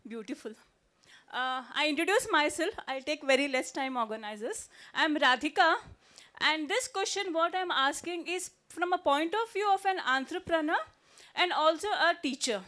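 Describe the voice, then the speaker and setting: A woman's voice: high voice, normal speed, bright, forceful tone. A woman asks a question at a panel. She sounds earnest and close to pleading. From India. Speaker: audience questioner, teacher.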